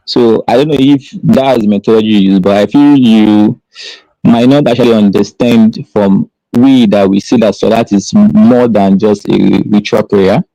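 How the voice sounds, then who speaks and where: A man's voice: lowish voice, fairly even intonation, normal speed, vocal fry, warm tone, dry recording, low-quality recording. A man defending his reading of scripture in a live debate, talking hesitantly and restarting often. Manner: hesitant, many fillers and restarts.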